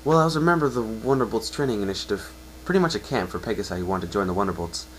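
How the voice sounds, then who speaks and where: A man voicing a downcast, self-blaming young male pegasus, telling his story in an earnest, halting way. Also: on the low side, animated, a little hiss or hum, close to an everyday voice, low-quality recording. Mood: earnest, regretful.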